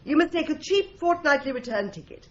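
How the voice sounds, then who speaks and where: Sardonic, brisk secretary; slightly high, lively voice, lo-fi radio recording with faint hiss. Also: female voice. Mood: explaining. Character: sharp sardonic young female secretary. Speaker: office secretary. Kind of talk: radio drama acting. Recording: dull lo-fi radio play recording.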